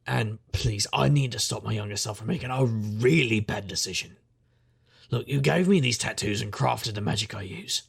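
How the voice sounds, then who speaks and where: A man's voice: lowish voice, moderate pace, creaky, breathy edge. A man playing a wry, brooding middle-aged magician detective, narrating an audio drama in first person.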